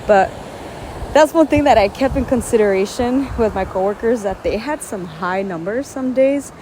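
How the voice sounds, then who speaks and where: A woman's voice: fairly high pitch, lively intonation, moderate pace, a little hiss or hum, rough recording. A woman whose first language is Spanish, vlogging outdoors at a beach in an earnest, chatty way with many fillers. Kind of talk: casual talk to camera. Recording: outdoor recording with loud waves. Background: waves.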